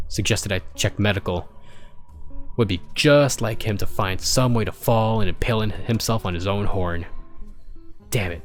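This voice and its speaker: A man's voice: lowish voice, lightly acted. A man voicing a grumpy, sarcastic pony maintenance supervisor who dictates log entries in a story. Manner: exasperated, sarcastic, swearing. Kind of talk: dramatic storybook character reading. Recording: music behind the voice.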